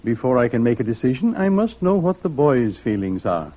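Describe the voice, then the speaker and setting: Casting: genial, deliberate judge, husky male voice, old radio drama, thin narrow-band recording. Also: mid-range voice, very animated. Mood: measured, grave. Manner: genial, measured, hemming. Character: kindly, avuncular, deliberate male court judge. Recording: tinny, muffled vintage radio recording.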